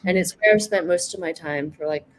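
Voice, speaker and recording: Soft-spoken woman with a lowish voice. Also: a little fast, slight background noise. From United States. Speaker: guest. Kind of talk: casual online conversation.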